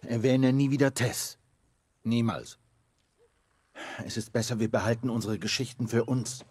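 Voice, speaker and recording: A man playing a gruff, stern middle-aged survivor in a video game dub, terse and commanding. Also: medium pitch, steady tone, measured pace, darkish tone. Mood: stern, guarded. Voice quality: gruff, husky.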